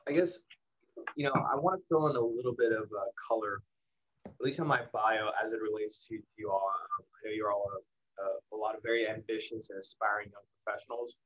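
An American man giving a casual motivational talk as a guest speaker, candid and conversational with emphatic pauses. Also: on the low side, a bit bright, muffled recording. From El Paso, Texas, United States. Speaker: guest speaker, marketing professional. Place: indoors in the evening, online livestream.

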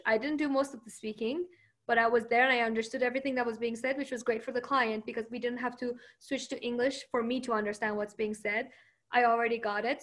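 A woman's voice: fairly high pitch, a bit bright. A woman whose first language is Persian, answering interview questions in a confident, upbeat way and laughing often.

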